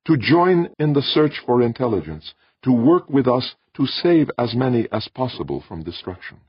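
A man voicing a calm, ancient alien being who speaks in a flat, intoning way. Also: medium pitch, moderate pace, slightly rough, dry recording, voice pushed up. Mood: solemn. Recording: dull dry recording.

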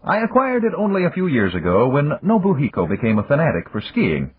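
Guy doing a calm old tycoon, pitched up high, polite and preachy, husky, tinny lo-fi sound. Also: exaggerated voice. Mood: measured, amiable. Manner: measured, courteous, gently lecturing. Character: older Japanese male industrialist, round, calm, philosophical. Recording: tinny, phone-like lo-fi.

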